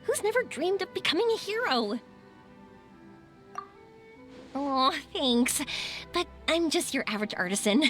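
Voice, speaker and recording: An actress playing a cheerful, excitable young woman in a video game, chatty and expressive. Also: high-pitched, normal speed. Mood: bright, excited. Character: cheerful, excitable young woman, fireworks maker's adventurous daughter. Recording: game dialogue over background music.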